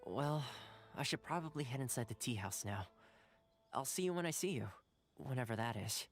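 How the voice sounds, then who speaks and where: A man voicing a calm, courteous, quietly guarded young ally in an anime video game, explaining things in a composed, measured way. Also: mid-range voice. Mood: reassuring, cautious. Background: soft game music.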